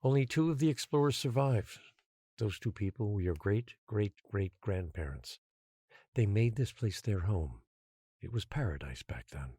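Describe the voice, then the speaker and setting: Quietly menacing guardian, audio drama, husky, mellow, dry close sound, measured delivery. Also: male voice, fairly low pitch, expressive, dry recording. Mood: solemn, revealing. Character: controlling, patient male guardian and secret master of an island.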